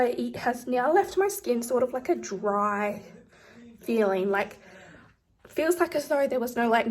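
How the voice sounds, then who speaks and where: A woman reviewing a product in a casual vlog, talking in a chatty way with many ums and likes, sounding slightly nasal from a recent chest infection. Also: a little high. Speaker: beauty vlogger.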